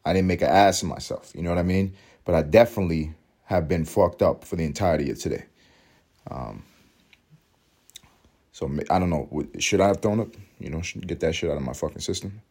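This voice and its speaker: A man in his thirties from New York City, hoarse and hungover, hosting a casual solo podcast in a rambling, profane way with emphatic repeats. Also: low-pitched, fairly quick, a little mellow. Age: 30s. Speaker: podcast host.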